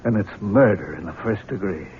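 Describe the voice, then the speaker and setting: A man's voice: fairly low pitch, moderate pace, close to an everyday voice. An actor playing a stern, insistent man pressing accusations in a 1970s radio drama. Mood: accusing. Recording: muffled, noisy 1970s radio recording.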